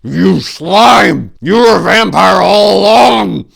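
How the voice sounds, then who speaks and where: A man voicing a grim, vengeful male warrior monk in a storybook reading, forceful and venomous. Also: sits high, expressive, slow pace, creaky, voice pushed up, heavily acted. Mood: enraged.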